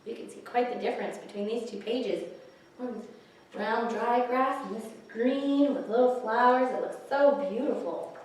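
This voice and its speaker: A woman reading picture books aloud to young children, pausing to explain the pictures and ask questions. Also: fairly high pitch, a little mellow. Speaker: children's librarian, story time host. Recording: echoey, distant microphone, faint hiss.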